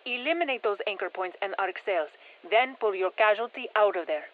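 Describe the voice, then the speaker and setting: An actress playing a brisk, focused female military scientist giving clipped mission instructions over a radio in a video game. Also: medium pitch. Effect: radio filter. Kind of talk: scripted game mission briefing. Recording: tinny band-limited radio transmission.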